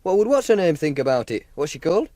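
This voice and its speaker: Radio play role: cocky Yorkshire betting schemer, lightish pitch, lively intonation, needling and colloquial. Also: male voice, fast talker. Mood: teasing. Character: cocky, teasing Yorkshire racing punter running a betting scheme. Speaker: actor. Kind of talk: radio drama acting, monologue and dialogue.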